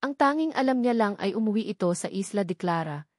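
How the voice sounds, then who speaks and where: A woman's voice: medium pitch, animated, fast talker, a little mellow, no room sound, studio quality. A woman with a Filipino accent reading a scripted romance story as a dramatic narrator.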